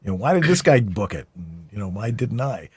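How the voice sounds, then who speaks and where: A man's voice: on the low side, big pitch swings, fairly quick, a little hiss or hum. A man hosting a talk show, interviewing a guest in a casual, conversational way.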